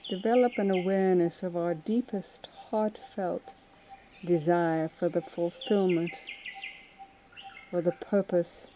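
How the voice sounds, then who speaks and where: Slow, very mellow woman guiding meditation. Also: fairly low pitch, fairly even intonation, a little hiss or hum. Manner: soothing, unhurried, gentle pauses between phrases. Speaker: meditation teacher. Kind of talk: guided mindfulness meditation.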